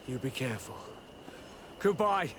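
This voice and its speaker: A man's voice: mid-range voice, big pitch swings, unhurried, noisy background. A man voicing a dutiful, worried military officer of a besieged outpost in a video game, earnest in manner. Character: worried male outpost officer, dutiful soldier under siege. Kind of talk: video game cutscene acting.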